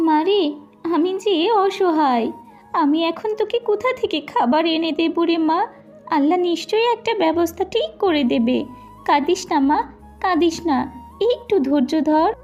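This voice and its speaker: A woman's voice: very high-pitched, animated, normal speed, darkish tone, muffled recording, soft background music. A woman voicing a poor, devout cartoon mother who is tender and patient, soothing with endearments. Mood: tender, sorrowful.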